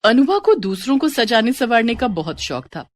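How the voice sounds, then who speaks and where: A woman's voice: on the high side, big pitch swings, moderate pace, no echo, studio quality. A woman reading a scripted story as its narrator.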